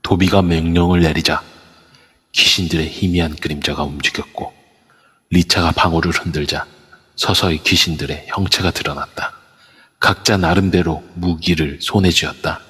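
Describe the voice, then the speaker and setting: Deep husky male narrator. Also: moderate pace. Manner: steady storytelling. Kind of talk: scripted audio drama narration. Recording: long reverb over a voice app.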